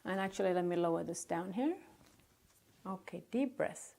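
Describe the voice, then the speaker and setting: A woman conducting a medical exam, giving calm, polite step-by-step instructions with short acknowledgements. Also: lowish voice, moderate pace, creaky.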